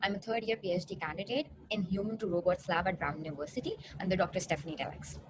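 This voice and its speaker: A woman's voice: fairly low pitch, fairly even intonation, quick pace. A young woman, a PhD student, giving a rehearsed research presentation. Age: young adult. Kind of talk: rehearsed conference talk. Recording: clearly noisy recording.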